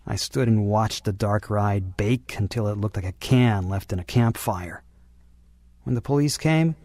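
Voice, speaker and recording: Grave, defiant sculptor. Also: male voice, fairly low pitch, a little mellow, dry recording. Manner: grave, skeptical, dramatic. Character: stubborn, defiant, brooding male sculptor. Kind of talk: audio drama acting and first-person narration.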